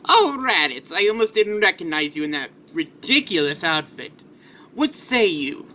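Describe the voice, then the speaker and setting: A man voicing a gloating, sadistic alien tyrant villain with mocking, theatrical politeness in an animated-style story. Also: high voice, normal speed, slight background noise, heavily acted.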